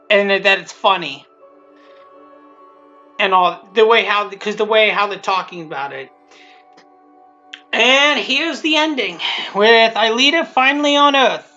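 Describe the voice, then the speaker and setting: Bright-voiced rambling commentator on a dull mic. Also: medium pitch. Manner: rambling, repetitive, halting. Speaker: creator commentating on own abridged series. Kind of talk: casual unscripted commentary. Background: show audio and music playing underneath.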